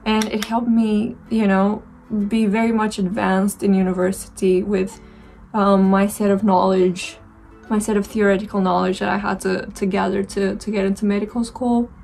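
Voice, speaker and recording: Young woman, Romanian accent, from Romania, casual makeup vlog chat, rambling with fillers, gravelly fry in the voice. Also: mid-range voice, little pitch movement, moderate pace, darkish tone, faint music behind, rough recording. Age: 20s. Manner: reflective, rambling, many fillers and restarts. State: dry throat. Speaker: beauty vlogger.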